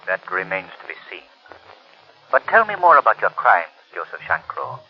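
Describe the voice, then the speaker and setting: An actor playing a mysterious, dramatic crime-fighter in a 1930s radio drama. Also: male voice, lowish voice, big pitch swings, normal speed, husky, exaggerated voice. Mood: calm, ominous. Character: mysterious, self-possessed male invisible crime-fighter. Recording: dull lo-fi 1930s radio recording.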